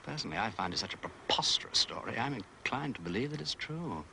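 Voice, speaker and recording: An actor playing an urbane, playful gentleman detective with an upper-class English accent, breezy and courteous in a British detective drama. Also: medium pitch, expressive, quick pace, gravelly, slight background noise, full character voice. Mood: probing.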